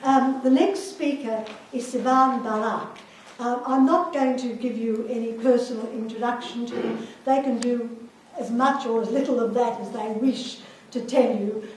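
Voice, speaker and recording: A woman's voice: fairly high pitch, fairly even intonation, moderate pace, hardly any pauses, darkish tone, a little hiss or hum. A woman chairing a session and introducing speakers, with light joking asides. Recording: echoey hall, mic far off.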